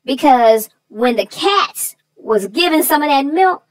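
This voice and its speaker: Woman putting on a sassy, very high-pitched folksy sidekick. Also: lively intonation, no echo, rough recording. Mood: sassy, amused. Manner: folksy, drawn-out, sassy exclamations. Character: sassy, folksy female sidekick character, chatty and theatrical. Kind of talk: bantering discussion of a children's story.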